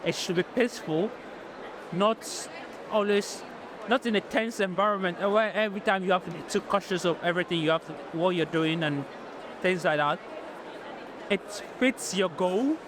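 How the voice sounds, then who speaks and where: A man from Nigeria, giving measured, thoughtful answers in an interview. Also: sits high, noisy background.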